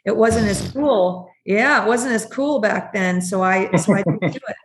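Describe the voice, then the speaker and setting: A woman's voice: mid-range voice, echoey room, rough recording. A woman co-hosting a podcast interview, chatting in an upbeat, casual way over a video call. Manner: chatty, upbeat, quick to laugh. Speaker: podcast co-host.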